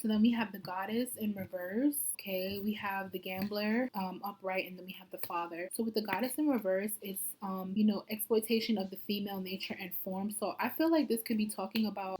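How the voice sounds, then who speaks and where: A woman's voice: medium pitch, darkish tone, low-quality recording. A woman giving a casual pick-a-card tarot reading, chatty and loose, leaning constantly on "like" and "I feel like". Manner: chatty, heavy use of "like" and "I feel like".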